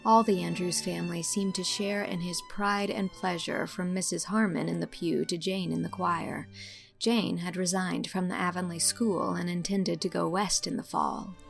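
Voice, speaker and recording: A woman reading literary fiction as an audiobook narrator, in an expressive scripted storytelling style. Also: on the low side, moderate pace, gravelly, soft background music.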